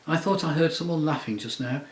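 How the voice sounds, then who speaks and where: A tiny, gallant mouse knight speaks with shrill, high-pitched pride, every word formal and chivalrous, as a man puts him on for a storybook reading. The voice carries a roomy echo. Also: fairly quick, lightly acted. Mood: proud. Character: noble, shrill-voiced, courtly male talking mouse.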